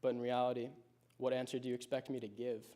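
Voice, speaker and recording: A teenage boy, a high school senior, reads out a prepared personal speech. He tells his story in a reflective, measured way, keeping his pitch fairly level. His voice sits on the mellow, darker side. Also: flat delivery. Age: late teens.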